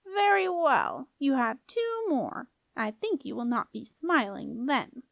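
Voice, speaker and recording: Storybook doorkeeper, male figure played by a woman: very high squeak, grumpy, sneering, sing-song taunts. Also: very animated, takes it slowly, no echo, clean studio sound, full character voice. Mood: grumpy, reluctant. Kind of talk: storybook character voice.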